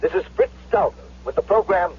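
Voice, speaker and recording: Casting: effusive propaganda presenter for radio play, very husky, thin phone-like vintage sound, declamatory. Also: male voice, sits high, a little fast. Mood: zealous, effusive. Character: zealous male propaganda radio announcer. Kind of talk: 1970s radio drama acting. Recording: thin radio-set sound, old recording.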